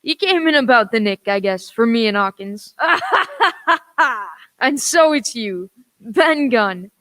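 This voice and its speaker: A woman's voice: fairly high pitch, lively intonation, slow pace, fairly bright tone. A woman playing a sly, swaggering pirate ringleader in a radio play, with wheedling sailor talk. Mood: wry.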